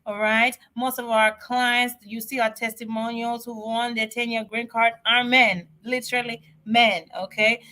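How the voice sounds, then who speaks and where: A woman's voice: on the high side, sharp tone. A middle-aged woman talking on a casual livestream Q&A, emphatic and repetitive, directly urging viewers to call her. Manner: emphatic, repeats herself, direct appeals. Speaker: immigration legal helper hosting a livestream.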